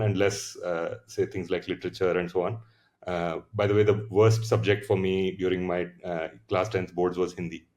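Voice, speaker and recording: An Indian man in his thirties with a very low voice tells his story in a relaxed interview. He talks in a thoughtful, meandering way, full of fillers and restarts. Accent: Indian English. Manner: reflective, many fillers and restarts. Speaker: founder, podcast guest.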